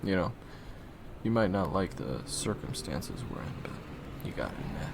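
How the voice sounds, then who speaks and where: A young man in an audio roleplay, talking in low, sardonic murmured asides. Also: sing-song, normal speed, vocal fry, heavy background noise. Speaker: roleplay voice actor playing assassin roommate.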